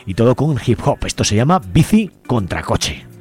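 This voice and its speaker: A man's voice: mid-range voice, very animated, normal speed, a little mellow, breathy edge, faint music behind. A man with an accent from Spain presenting a radio show in an informal, conversational way with hesitant phrasing. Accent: Spanish (Spain). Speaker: radio host.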